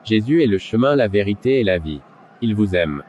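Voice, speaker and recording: A man's voice: lowish voice, expressive, moderate pace, a little mellow, natural voice. Man as fervent street preacher, muffled recording. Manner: preaching, exhorting. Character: fervent male street evangelist, urgent, caring. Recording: dull lo-fi sound, background noise.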